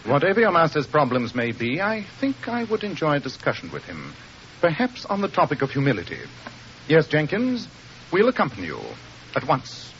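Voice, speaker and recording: A man's voice: on the high side, huge pitch swings, moderate pace, a bit bright. An actor playing a brilliant, aloof male detective with a dry, formal, assured manner in an old radio mystery drama. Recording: muffled, noisy old radio recording.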